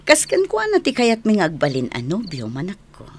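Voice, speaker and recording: A woman's voice: medium pitch, wildly swooping pitch, a little fast, a little hiss or hum. A woman playing a caring middle-aged mother in a radio drama, with a Filipino accent and a motherly manner. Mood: caring, prying.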